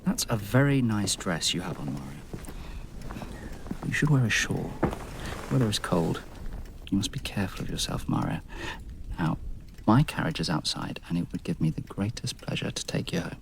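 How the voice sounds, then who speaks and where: An actor playing a self-possessed young nobleman who speaks with measured, cool courtesy in a radio drama. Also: low-pitched, lively intonation, noisy background. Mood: coolly polite. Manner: smooth, measured, coolly polite.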